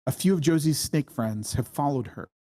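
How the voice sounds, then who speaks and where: A narrator reading screenplay stage directions at a table read in measured, deliberate short phrases. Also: medium pitch, lively intonation, warm tone. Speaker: screenplay reader.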